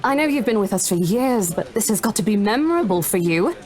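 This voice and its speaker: A woman's voice: mid-range voice, animated, fairly quick, a little mellow, close to an everyday voice. A woman playing a brash, teasing, worldly female senior estate agent in a visual novel game, playful and informal. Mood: teasing, sassy.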